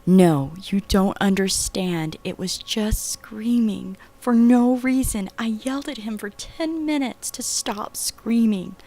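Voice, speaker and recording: A woman's voice: mid-range voice, slow pace, hardly any pauses, dark tone. An actress playing a frightened, sick young woman in a horror audio drama, urgent, agitated and pleading. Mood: frantic insistence.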